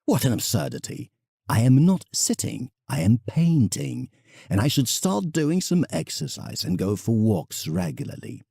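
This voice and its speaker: Male actor as old eccentric painter, audio drama letter, theatrical, husky, dark mellow tone, musing. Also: mid-range voice, sing-song, a little slow, no echo, studio quality, full character voice. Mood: mock indignation. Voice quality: gravelly, husky. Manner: theatrical, musing, conversational asides. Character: elderly eccentric English painter writing a fond, indignant letter.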